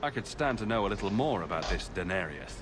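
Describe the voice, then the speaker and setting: An actor playing a self-assured, pragmatic mercenary adventurer in a fantasy game, with dry, direct delivery. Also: male voice, fairly low pitch, big pitch swings, fast, loud music behind the voice. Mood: cool, pragmatic. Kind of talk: fantasy game dialogue acting.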